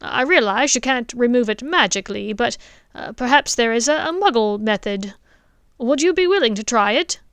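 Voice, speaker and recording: A woman reading the part of an earnest, slightly nervous middle-aged male dentist, speaking louder and eagerly. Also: a little high, animated, moderate pace, clear, resonant tone, dry recording.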